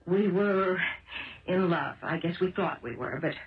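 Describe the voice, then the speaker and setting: An American actress playing an earnest, persistent detective's wife in a 1970s radio drama, speaking in a deliberate, pleading way. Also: female voice, on the low side, close to an everyday voice. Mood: confessing. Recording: echoey, dull old radio broadcast.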